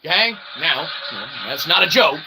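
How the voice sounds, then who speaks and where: A man's voice: fairly high pitch, big pitch swings, moderate pace. A man hosting a rambling comedic monologue in a folksy showman's way, with theatrical asides and habitual check-in tags. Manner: folksy showman, theatrical asides, often says "okay" and "that's right". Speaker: host of a solo show. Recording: clearly noisy recording.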